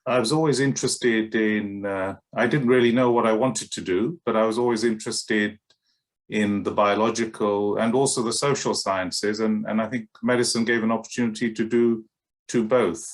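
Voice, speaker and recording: A man's voice: lowish voice, fairly even intonation, moderate pace. A middle-aged man, a paediatric doctor, answering interview questions in a hesitant way, full of fillers and restarts. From Kenya and West London.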